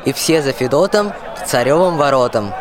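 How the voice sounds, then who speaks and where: A man's voice: mid-range voice, animated, normal speed, natural voice. A young man playing a folksy rhyming storyteller, narrating a radio play. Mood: storytelling. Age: young adult. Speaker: narrator, school student actor. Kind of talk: theatrical folk-tale narration. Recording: noisy crowd hubbub behind.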